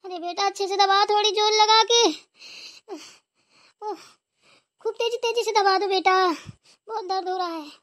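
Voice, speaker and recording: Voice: very high-pitched, steady tone, a little slow, long pauses, a bit bright. A performer voicing an aching old village mother-in-law in a Hindi cartoon, bossy but affectionate. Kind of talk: Hindi family cartoon dialogue.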